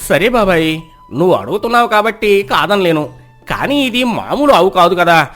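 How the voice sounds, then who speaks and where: A man's voice: high voice, big pitch swings, moderate pace, a bit bright, soft background music. A man voicing a cunning, lazy village trickster in a folk tale, boastful and persuasive in his talk. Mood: persuasive, pious.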